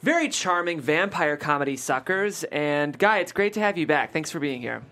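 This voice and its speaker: Talk show host, mid-pitched, highly animated delivery, chatty and keen. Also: normal speed. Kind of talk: reading script directions, then interviewing a guest.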